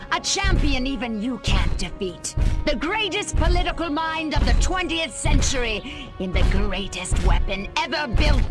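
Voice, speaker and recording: A woman playing a scornful, defiant villain mastermind in a video game, theatrical, sarcastic and commanding. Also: high-pitched. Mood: grandiose, menacing.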